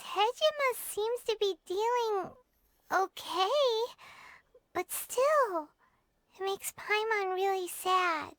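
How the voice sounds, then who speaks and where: A woman voicing a tiny, childlike fairy companion in a video game, chirpy, chatty and excitable. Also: very high voice, takes it slowly, no echo, exaggerated voice. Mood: sympathetic. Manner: chirpy, refers to self in third person.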